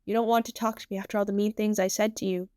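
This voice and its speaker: A woman's voice: mid-range voice, flat delivery, racing, warm tone, no room sound, clean studio sound, lightly acted. A woman voicing an earnest, take-charge teenage boy in a story reading, speaking in an emphatic, declarative way. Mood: apologetic.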